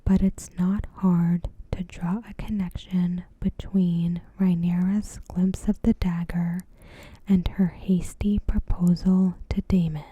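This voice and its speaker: A woman doing ASMR commentary in a breathy, hushed whisper, measured and deliberate, close to the microphone. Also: fairly low pitch, flat delivery, no room sound, dull audio. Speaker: ASMR creator reviewing a TV episode. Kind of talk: ASMR, soft scripted commentary. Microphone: close microphone in a dry space.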